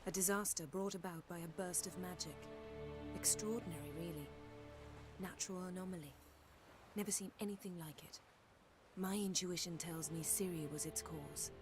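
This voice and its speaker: An actress playing a haughty, elegant sorceress who speaks in a dry, sardonic, softly cutting way. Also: female voice, lowish voice, moderate pace, lightly acted. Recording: game cutscene with music behind.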